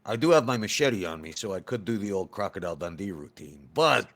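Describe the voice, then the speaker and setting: A man's voice: fairly low pitch, expressive, a little fast, a bit bright. A man talking casually as a player in a tabletop roleplaying game, working through his moves.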